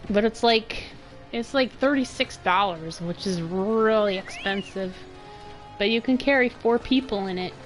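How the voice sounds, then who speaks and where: A woman's voice: medium pitch, a little slow, soft background music, low-quality recording. A woman game streamer chatting casually while she plays. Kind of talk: casual chat over gameplay.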